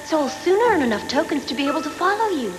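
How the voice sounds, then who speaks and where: A woman's voice: sits high, very animated, fast, low-quality recording. A woman voicing a devoted, fierce young cyborg warrior girl in an anime dub, earnest and dramatic. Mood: tender, resolute. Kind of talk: dubbed anime voice acting. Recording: music behind, old VHS tape dub.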